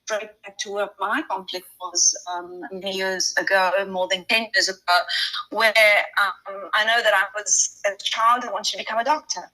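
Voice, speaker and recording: Bright-voiced woman in her fifties. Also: medium pitch, fairly even intonation, normal speed, rough recording. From Italy. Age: 50s. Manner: effusive and reflective. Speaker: holistic health coach. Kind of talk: casual interview chat.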